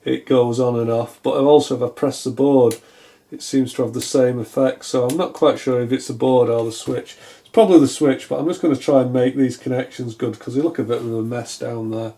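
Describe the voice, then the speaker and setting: Brief: male hobbyist repairer, soft-edged mellow tone, casual and unsure, thinking aloud at the bench. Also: medium pitch, fairly even intonation, fairly quick. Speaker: electronics repair hobbyist, video host. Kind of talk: casual hands-on repair commentary. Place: indoors at a wooden workbench.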